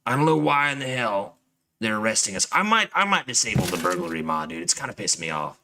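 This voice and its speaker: A man's voice: on the low side, big pitch swings, fast, gravelly, background noise. A man giving casual, profane, grumbling commentary while he plays a video game. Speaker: gaming streamer playing and commenting on a game. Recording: game music behind the voice. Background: video game music.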